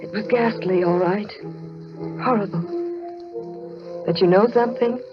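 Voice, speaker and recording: An actress playing a highly strung young woman in an old-time radio thriller. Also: takes it slowly, close to an everyday voice. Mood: relieved, wry. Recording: muffled old radio recording, loud music.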